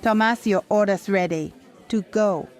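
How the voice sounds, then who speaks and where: A woman's voice: mid-range voice, unhurried, natural voice. A woman playing a kindly diner waitress in an audio drama, motherly and placating. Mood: soothing.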